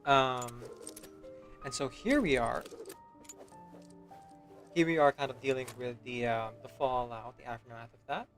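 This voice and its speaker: A man's voice: medium pitch, a little slow, long pauses. A man chatting casually on a livestream, polite and welcoming to his viewers, over music. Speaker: live streamer.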